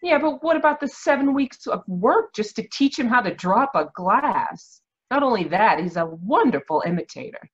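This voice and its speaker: A woman's voice: on the high side, big pitch swings, moderate pace, few pauses, highs cut off. An actress playing a tough, short-tempered lady schemer in an old-time radio drama, brassy and scornful. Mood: hard, scornful.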